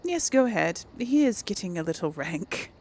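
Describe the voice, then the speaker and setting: A woman's voice: medium pitch, very animated, normal speed, a little mellow, slightly rough. A woman giving casual, chatty let's play commentary, thinking aloud as she hosts a role-play gaming series.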